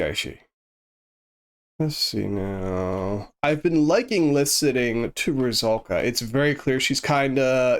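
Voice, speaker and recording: A man streaming live, chatting casually with viewers in a rambling, easygoing way while he plays, his voice congested and a bit sniffly. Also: mid-range voice. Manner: rambling, easygoing, thinks aloud with many restarts.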